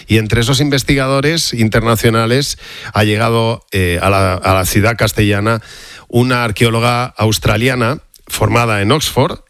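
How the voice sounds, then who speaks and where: A man's voice: medium pitch, fairly even intonation, normal speed, fairly bright tone, dry recording, studio quality. A man with a Castilian Spanish accent presenting in a chatty, emphatic, conversational broadcast style. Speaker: radio host.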